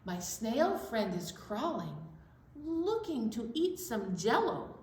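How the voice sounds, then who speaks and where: A woman's voice: a little high, sing-song, takes it slowly, a little mellow, recorded from a distance. A woman presenting rhymes and activities to young children, playful and encouraging, prompting them to answer, recorded in an echoey room away from the microphone.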